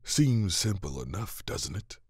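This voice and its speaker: An actor playing an earnest, naive god of life in a fantasy audio drama, pleading gently with his brother. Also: deep voice, big pitch swings, measured pace, husky, no echo, studio quality, full character voice. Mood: pleading, defiant.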